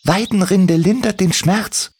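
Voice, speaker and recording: A man voicing a small, kind male kobold healer in a children's story, eager and gently questioning. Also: high-pitched, unhurried, a little hiss or hum, pitched above the performer's own voice. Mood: caring.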